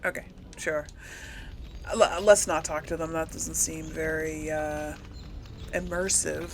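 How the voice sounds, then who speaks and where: A woman's voice: low voice, steady tone, takes it slowly, slight background noise. A woman giving casual, chatty commentary as she plays through a video game. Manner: chatty, informal. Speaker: gamer, let's player.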